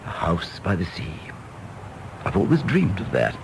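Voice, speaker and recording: An actor playing a tactful, evasive English senior civil servant, polite and hesitant, in a period radio drama. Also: male voice, low voice, wildly swooping pitch, unhurried, vocal fry, slight background noise, exaggerated voice. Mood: genial. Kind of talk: period stage-play radio drama acting.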